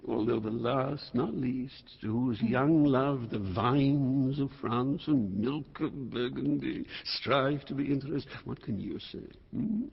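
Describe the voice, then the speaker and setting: A man's voice: medium pitch, vocal fry, husky, exaggerated voice. An actor playing an aging, proud and volatile king and father in a classical Shakespearean stage drama, speaking in a grand, commanding manner. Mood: grand, coaxing. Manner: grand, theatrical, deliberate, commanding. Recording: muffled, reverberant hall sound.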